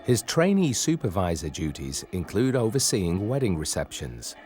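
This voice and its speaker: Deep, lively male documentary narrator. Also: normal speed. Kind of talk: scripted narration. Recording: voice-over with clear background music.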